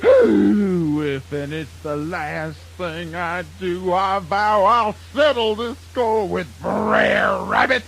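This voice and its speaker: A man playing an excitable, gullible fox in children's radio theatre, folksy and exaggerated, with a Southern US accent. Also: sits high, takes it slowly, vocal fry, few pauses, exaggerated voice. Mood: pained, vengeful. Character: excitable, gullible, grudge-bearing male fox.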